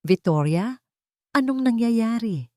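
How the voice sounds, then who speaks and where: A woman voicing a weary, cold and authoritative widowed father, speaking quietly in a story. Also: on the high side, expressive, slow, dry recording, studio quality, pitched above the performer's own voice. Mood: cold commanding. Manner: quiet, tired, commanding.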